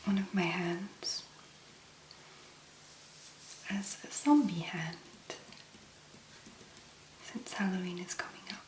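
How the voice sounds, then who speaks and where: A woman speaking in a hushed, gentle whisper with long pauses, as an ASMR host. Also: lowish voice. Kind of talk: soft-spoken whispered ASMR. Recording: roomy echo, clear background noise.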